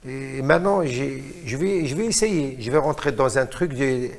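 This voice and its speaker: A man's voice: mid-range voice, animated, moderate pace. A middle-aged man from Morocco, a singer, talks in a casual interview, hesitant, often repeating words as he searches for phrases.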